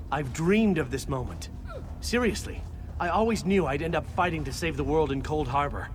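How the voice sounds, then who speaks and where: Wry game soldier played by a man, cocky dreamer, lightish higher pitch, noisy capture. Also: close to an everyday voice. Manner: breezy, wry, conversational. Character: cocky, daydreaming male soldier, jokey would-be hero. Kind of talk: video game voice acting.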